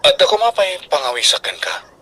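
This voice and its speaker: A man playing a shy young student suitor in a radio drama, coaxing and halting, with an Ilocano Filipino accent. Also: high voice, huge pitch swings, pushed and bright, slightly rough, full character voice. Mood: shy, nervous.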